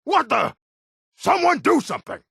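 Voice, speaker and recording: An actor playing a gruff, combative male orc townsman in a video game, with a rough, husky voice and a blunt, curt way of speaking. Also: extremely high pitch, huge pitch swings, normal speed, a bit bright, no echo, clean studio sound, heavily acted. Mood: panicked. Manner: blunt, curt, threatening delivery. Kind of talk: video game character barks.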